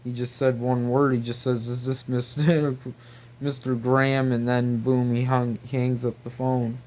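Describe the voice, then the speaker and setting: Male, unhurried pace, mid-pitched voice; off-the-cuff commentary, halting and disbelieving. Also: level tone, darkish tone. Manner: incredulous, repetitive, halting. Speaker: video creator. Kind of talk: casual rambling commentary.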